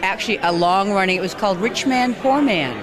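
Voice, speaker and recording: A middle-aged woman with a Southern US accent tells stories in an animated, chatty way, jumping into playful voices for quoted lines. She speaks into a handheld mic in a convention hall. Crowd chatter hums behind her. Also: fairly quick. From Tennessee, US South. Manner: animated, chatty, acts out quotes with lively pitch leaps. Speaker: actress, interview guest. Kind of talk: casual storytelling interview. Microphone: handheld mic held by interviewer.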